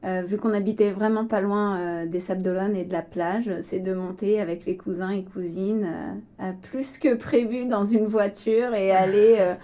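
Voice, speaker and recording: A woman's voice: medium pitch, steady tone, normal speed, few pauses, mellow. A woman from western France with a French accent from France, telling personal stories casually as an interview guest, in a hesitant, reflective manner. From Vendée, western France. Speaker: interview guest, arts organisation director.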